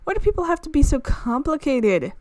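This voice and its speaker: A woman streamer reading a visual novel aloud in an expressive storytelling way, with asides. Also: high-pitched, a little fast, no echo. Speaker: video game streamer. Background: game music.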